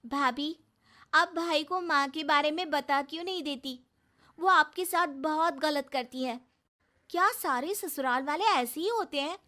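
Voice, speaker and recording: A woman's voice: sits high, unhurried, bright, forceful tone, pitched above the performer's own voice. A woman voicing an earnest, anxious young sister-in-law in a cartoon moral story. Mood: worried, pleading. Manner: anxious, pleading.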